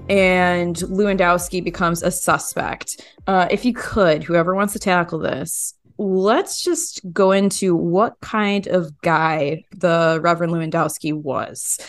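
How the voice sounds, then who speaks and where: A woman's voice: on the low side, no room sound. A woman hosting a podcast, presenting and asking questions in a conversational interview style. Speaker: podcast host interviewing reporters. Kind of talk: scripted intro and conversational interview.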